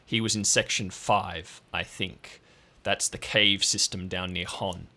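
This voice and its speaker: Audio drama role: authoritative, fed-up woman ranger in command, blunt and forceful male performer, low register. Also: fairly even intonation, a little slow, exaggerated voice. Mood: grave, businesslike. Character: brusque, exasperated woman ranger confined to hospital bed. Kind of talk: improvised audio drama acting.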